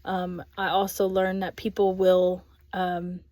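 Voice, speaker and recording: A woman in her thirties with a Texas accent, an ESL aide giving a thoughtful spoken reflection with frequent pauses and ums. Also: lowish voice, monotone, warm tone, no room sound, studio quality. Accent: Texan. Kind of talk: spoken reflection on a course video.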